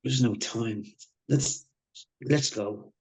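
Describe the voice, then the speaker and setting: A man's voice: mid-range voice, slow pace, darkish tone, slightly rough, rough recording. An actor playing a wry, shaken man given to gallows humour in a live screenplay reading. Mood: reflective. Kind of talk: live screenplay table read acting.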